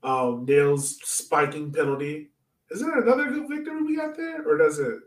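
Roomy, slightly distant male podcast co-host, mid-pitched, informal and slangy, trading sports opinions. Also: lively intonation, normal speed. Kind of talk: casual soccer banter and questions. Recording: echoey room, off the mic.

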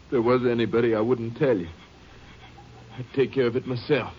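An American actor playing a grieving, bitter husband in a 1950s radio drama, terse and strained. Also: male voice, mid-range voice, fairly even intonation, a little fast, slight background noise, lightly acted. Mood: grim. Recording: muffled 1950s radio recording.

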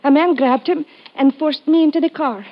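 A woman's voice: high voice, steady tone, moderate pace, natural voice. An actress playing an earnest young Portuguese woman in an old radio drama, with careful foreign phrasing. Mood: shaken, reporting. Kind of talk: 1950s radio drama acting. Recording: muffled old radio recording.

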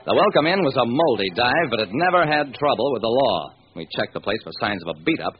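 Man acting as hard-boiled detective narrator, wry, lively pitch, old radio crime drama, dull noisy recording. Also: brisk, natural voice. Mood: wry, determined. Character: driven male police detective narrating his case.

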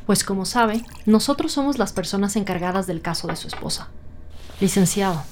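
An actress playing a commanding lead detective who questions with formal authority in an audio drama. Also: female voice, medium pitch, lively intonation, fairly quick, background noise, lightly acted. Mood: formal, probing. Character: commanding, probing woman lead detective and boss. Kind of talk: scripted audio drama dialogue.

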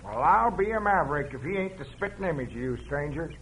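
An actor playing a folksy, grudging Old West sheriff with an American Western drawl in an old radio western. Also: male voice, a little high, expressive, fast, a bit bright. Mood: amazed, folksy. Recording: dull, faintly noisy old radio recording.

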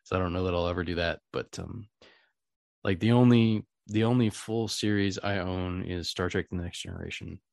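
A man with a fairly low voice chats as a podcast host. His delivery is casual and meandering, with hesitant searching for words. The sound is dry, with little room in it. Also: moderate pace, vocal fry, a little mellow, no echo. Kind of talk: casual chat.

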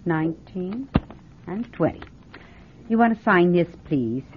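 An actress playing a curt, unwelcoming motel clerk in an old radio drama. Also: female voice, lowish voice, expressive, unhurried, background noise. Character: terse small-town woman motel clerk, curt. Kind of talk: 1970s radio drama acting. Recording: tinny old radio broadcast.

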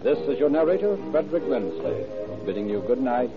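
An American man announces in scripted old-time radio style, as narrator and sponsor spokesman. His pitch is average. The recording is narrow and tinny, like an aged broadcast. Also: big pitch swings, a little fast, over loud music.

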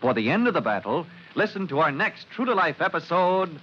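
A man's voice: on the high side, very animated, brisk, slightly rough. Male old-time radio narrator, muffled. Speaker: narrator and announcer. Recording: muffled old radio recording, some noise.

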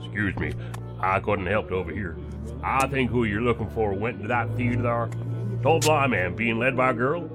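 An actor playing a polite, hesitant bystander who speaks in a courteous, slightly formal way. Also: male voice, lowish voice, moderate pace, over music, lightly acted. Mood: helpful, hesitant. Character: polite, hesitant male bystander volunteering information.

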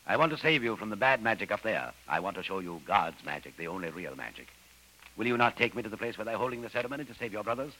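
A man's voice: lowish voice, big pitch swings, fast talker, sharp tone, rough recording. A man playing an earnest, formal and pious missionary in an old radio jungle adventure. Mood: earnest, conciliatory. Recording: hissy old radio recording.

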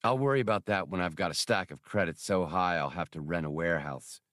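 A man's voice: deep voice, brisk, a bit bright, no echo, studio quality, pitched below the performer's own voice. A man voicing a cocky, pragmatic starship pilot and smuggler with a blunt, confident way of talking, in an audiobook. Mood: confident, wry.

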